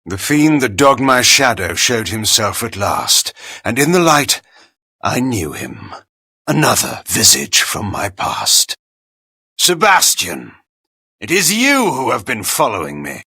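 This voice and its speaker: Game antihero: vengeful vampire lord, cold and commanding, intense forceful tone, dramatic swings, formal declamation. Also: male voice, medium pitch, sing-song, creaky, husky, dry recording, clean studio sound, heavily acted. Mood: contemptuous, vengeful. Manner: theatrical, imperious, formal measured declamation. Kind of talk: dramatic video game voice acting.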